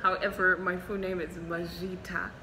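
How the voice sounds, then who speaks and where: A young woman doctor from Zimbabwe, a Shona speaker, talks through viewer questions in a relaxed, candid way with plenty of ums. Her voice sits low, and she records in an echoey hospital room with steady noise behind her. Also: moderate pace. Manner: chatty and candid, frequent ums. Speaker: doctor and vlogger. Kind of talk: casual Q&A vlog. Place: hospital, indoors.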